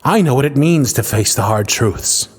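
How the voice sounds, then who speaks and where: A man's voice: mid-range voice, lively intonation, normal speed, breathy edge. A man playing a bitter, scornful younger prince in a fantasy audio drama, brooding and theatrical, full of contempt. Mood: contemptuous.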